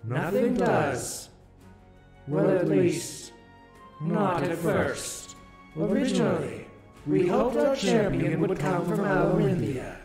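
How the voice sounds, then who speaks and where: Slow, solemn, high-voiced ancient ruler. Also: male voice, big pitch swings, heavily acted. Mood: measured, explaining. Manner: grave, deliberate, theatrical pronouncements. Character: solemn, ancient otherworldly ruler, calmly justifying terrible deeds. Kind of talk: dramatic visual novel voice acting. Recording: echoing voice under loud music.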